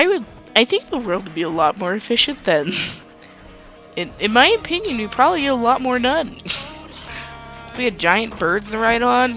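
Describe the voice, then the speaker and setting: Young woman gaming host, calm soothing ramble, casual, background music under the voice. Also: fairly low pitch, low-quality recording. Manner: calm, soothing, rambling talk with light laughs. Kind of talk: casual gameplay commentary.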